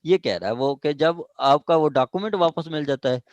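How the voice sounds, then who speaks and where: A man's voice: on the high side, lively intonation, brisk, no echo, dull audio. A man with a Pakistani accent teaching evidence law to a class, explaining step by step with many fillers and checking that students follow. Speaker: law lecturer.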